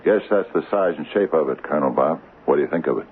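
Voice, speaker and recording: An actor playing a troubled, humble small-town mayor in an old radio drama, earnest and halting, stammering over his words. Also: rumbling low. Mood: uneasy, humble. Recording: phone-like, noisy old radio recording.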